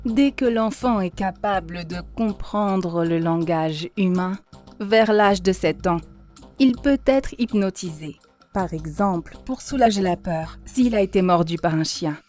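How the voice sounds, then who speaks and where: A woman voicing an earnest female mentor in a cartoon, lecturing in a measured, instructive way. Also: on the low side, darkish tone, close to an everyday voice. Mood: earnest, didactic. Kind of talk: dubbed cartoon dialogue, didactic monologue. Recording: music behind the voice.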